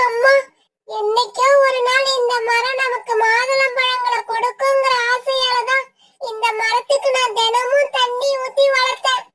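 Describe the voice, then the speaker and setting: A woman's voice: very high-pitched, distant mic, very noisy. A woman voicing an earnest, childlike young female bird in a children's cartoon. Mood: tearful, hurt. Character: small earnest young female bird and gentle forest fairy.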